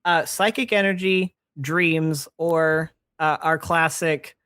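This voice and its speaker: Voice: medium pitch, steady tone, slow, a bit bright, smooth, no room sound. Chatty late-twenties podcast host, dry close sound. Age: late 20s. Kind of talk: casual chat explaining fantasy lore.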